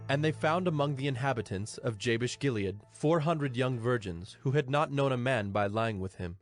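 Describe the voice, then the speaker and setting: A man's voice: mid-range voice, moderate pace. A man giving a scripted narration of a dramatized scripture audio production. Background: background music.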